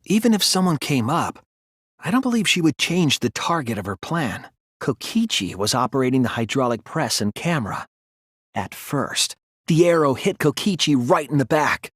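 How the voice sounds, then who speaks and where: A man's voice: mid-range voice, animated, moderate pace, fairly bright tone, husky, no room sound, clean studio sound. An actor playing an earnest young male detective student in a video game, reasoning carefully step by step. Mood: earnest, analytical. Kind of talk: video game voice acting, deductive explanation.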